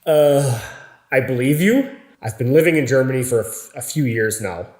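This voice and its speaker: Slow, clear-spoken American man vlogging. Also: mid-range voice, animated, darkish tone. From United States. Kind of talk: casual vlog talk to camera. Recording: echoey room.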